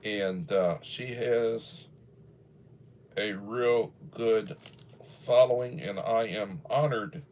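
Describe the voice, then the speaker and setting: A man's voice: fairly low pitch, very slow, a little mellow, a little hiss or hum. A man in his early sixties, chatting casually on a livestream, rambling and folksy as he reads viewer comments aloud. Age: 60s. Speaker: livestream host.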